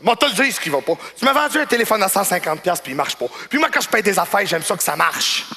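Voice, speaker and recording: A bright, forceful male voice pitched high as a stage comic plays him, a pushy, prejudiced Quebecois customer with a thick local accent. He is blunt and belligerent, throwing curses into his complaints. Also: lively intonation, fast, creaky, long reverb tail, exaggerated voice. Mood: angry, complaining. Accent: Quebec French.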